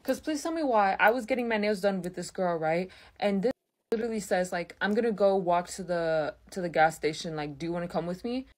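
A woman's voice: on the low side, fairly quick. Young woman ranting bluntly. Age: young adult. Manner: fired-up, blunt, swears. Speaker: customer ranting in a clip. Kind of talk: ranting video.

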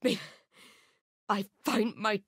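An actress playing a zealous, hostile cult warrior in a video game, delivering terse, intense lines in short phrases. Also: female voice, medium pitch, fairly even intonation, takes it slowly, slightly rough, dry recording, studio quality. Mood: hushed, devout. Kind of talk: combat barks and effort lines for an action game.

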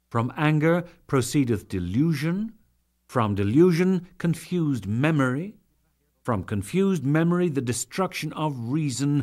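A man giving a dramatic, solemn and measured reading of scripture as an audiobook narrator. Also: on the high side, darkish tone. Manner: solemn, measured, theatrical delivery with archaic diction.

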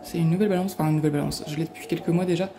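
A woman's voice: sits low, steady tone, very fast. A woman food blogger chatting casually while she cooks, peppering her talk with little fillers as she works. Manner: chatty, fillers like hop and voilà. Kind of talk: casual cooking demo. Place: home kitchen. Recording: echoey kitchen, loud background noise.

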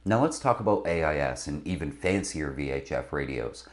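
A man's voice: extremely low pitch, animated, moderate pace. A middle-aged man presenting scripted instruction to camera as a sailing video host. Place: indoor studio with branded backdrop. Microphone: lapel mic.

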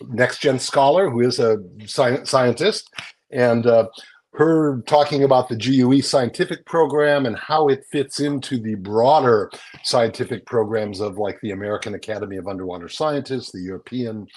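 Older man, mid-pitched voice, casual news rundown, explanatory, chatty, indoor video call. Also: normal speed, a little mellow, slight background noise. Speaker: magazine editor and guest presenter. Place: small home room with bookshelves, indoors. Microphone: video call, close to the screen.

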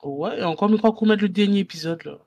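A man's voice: high voice, fast, vocal fry, a little mellow, lo-fi sound. A man, chatting casually as co-host of a livestream. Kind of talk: casual chat.

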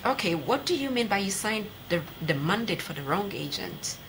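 Woman, Ghanaian accent, bright forceful voice, sports show host, interviewing, noisy studio sound. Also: mid-range voice, big pitch swings, moderate pace. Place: TV studio, seated at desk. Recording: clearly noisy studio recording.

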